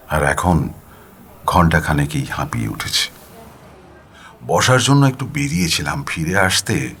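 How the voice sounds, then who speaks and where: A man narrating a first-person story dramatically, with an expressive, weary, plaintive delivery. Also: deep voice. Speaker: audiobook narrator.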